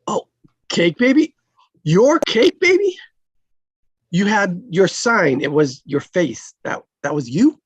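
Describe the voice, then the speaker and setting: A man reading the part of a friendly, awkwardly flirty traffic cop in a live table read, halting and earnest with dry jokes. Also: high voice, sing-song, husky, no room sound, voice pushed up, heavily acted. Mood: curious, smitten.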